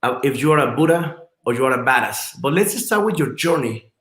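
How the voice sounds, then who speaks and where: A man's voice: medium pitch, lively intonation, a little fast, fairly bright tone, reverberant. A man hosting an interview, asking eager, rambling questions. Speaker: interviewer host.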